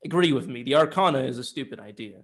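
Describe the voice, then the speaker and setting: A man's voice: mid-range voice, expressive, fast. A man co-hosting a casual film discussion, argumentative and emphatic, with some casual swearing. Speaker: podcast co-host reviewing films.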